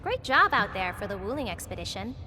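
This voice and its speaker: A woman playing a composed, confident video game comrade who explains things in a measured way. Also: medium pitch, very animated, big-room sound, music in the background, natural voice. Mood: welcoming.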